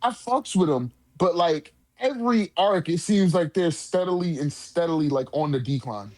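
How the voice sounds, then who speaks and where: A man co-hosting a casual discussion, talking in profane slang and often repeating phrases haltingly as he goes. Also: fairly high pitch, expressive, darkish tone, dry recording. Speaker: co-host of a manga discussion. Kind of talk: casual chat.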